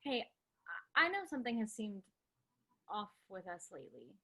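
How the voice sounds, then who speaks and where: An actress in a staged play reading, playing an upbeat, affectionate and excitable young pregnant woman. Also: medium pitch, normal speed. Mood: tender, gentle.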